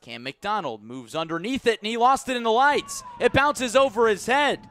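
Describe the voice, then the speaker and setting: American guy doing baseball play-by-play on radio, voice a little on the high side, steady but gets excited on plays. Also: slight background noise. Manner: measured between pitches, rising to excited calls on plays. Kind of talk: live baseball radio commentary.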